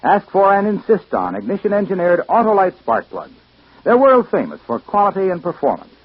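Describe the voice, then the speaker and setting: A vintage radio adman with a fairly high, slightly rough male voice and bouncy intonation. He sells emphatically, on a dry, dull old recording. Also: no room sound. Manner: emphatic, salesmanlike. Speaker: radio commercial announcer. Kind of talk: 1940s-50s radio ad pitch.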